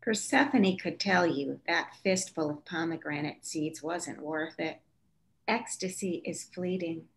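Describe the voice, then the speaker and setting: A woman's voice: sits low, measured pace. A woman giving a scripted literary reading of lyrical prose poetry. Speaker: author reading aloud.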